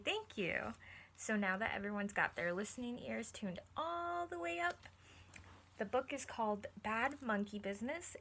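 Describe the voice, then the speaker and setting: A woman teacher reading a picture book to children, encouraging them and pausing to explain things simply and ask questions. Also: mid-range voice, bright, forceful tone, slight background noise. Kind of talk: read-aloud lesson with questions to young listeners.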